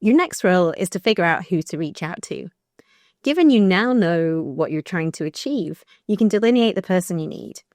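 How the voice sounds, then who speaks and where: A woman with a croaky voice reading her own blog post aloud, measured and thoughtful with brief restarts. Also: lowish voice, animated, darkish tone, dry recording, clean studio sound. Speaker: researcher narrating her blog.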